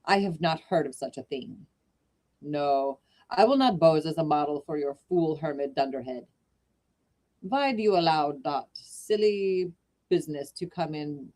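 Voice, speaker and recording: A fierce little old painter with a thick German accent, scoffing and blustering in a low, gruff voice, as a woman reading a story puts him on. He sounds like a cranky old man from a storybook. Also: a little mellow. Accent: German-accented English, put on.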